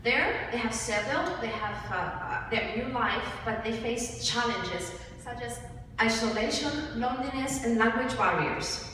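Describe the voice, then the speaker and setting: A young woman gives a rehearsed pitch with a fairly high voice. She sounds far from the mic in a very echoey hall with faint noise. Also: animated, unhurried, pushed and bright. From Cyprus. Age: young adult. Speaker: student presenter. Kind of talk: rehearsed group pitch presentation. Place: large hall with seated audience. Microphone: far audience camera.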